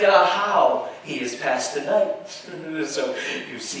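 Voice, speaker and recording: A gothic madman on stage, a nervous murderer who gloats over his own cunning. His fairly high voice moves slowly through halting, stammered phrases with sudden emphasis. It is heard from a distance in a very echoey space. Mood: gleeful, gloating. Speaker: stage actor performing a dramatic reading. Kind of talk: theatrical gothic horror monologue. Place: live performance space at an amusement park show. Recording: echoey live show, distant mic, faint noise.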